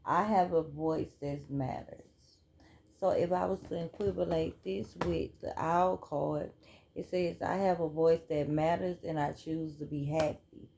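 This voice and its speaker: A woman chatting casually through a spiritual card reading at home, relaxed and talkative. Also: deep voice, gravelly. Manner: relaxed, chatty, reads cards aloud. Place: home room.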